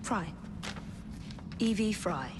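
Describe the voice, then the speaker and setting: An actress playing a practical young adventurer in a video game, with an English accent and a crisp, direct manner. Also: female voice, medium pitch, expressive, drawn-out words, noisy background. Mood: concerned. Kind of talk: video game cutscene acting.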